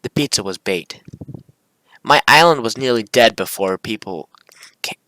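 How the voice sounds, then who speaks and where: A man's voice: on the low side, lively intonation, unhurried, bright, forceful tone, no echo, low-quality recording. A young man giving informal, rambling screen-recording commentary and reading his script aloud, often correcting himself. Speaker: video creator.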